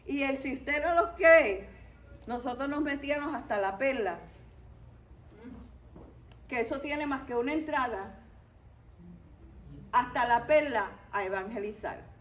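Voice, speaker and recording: Puerto rican lady teaching bible study, high voice, takes her time, stresses the main points. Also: animated, measured pace, pauses often. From Puerto Rico.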